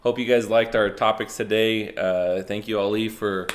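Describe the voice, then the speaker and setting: A man co-hosting a podcast, chatting casually and reacting excitedly while watching a fight, with a slightly nasal voice. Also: lowish voice, normal speed, a little hiss or hum. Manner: excitable, swings into loud reactions. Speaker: podcast co-host.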